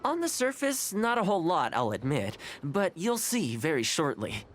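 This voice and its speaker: An actor playing a smooth, easygoing young male negotiator in a video game, relaxed, courteous and persuasive. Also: a little high, moderate pace. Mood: composed, confiding. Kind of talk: video game character dialogue.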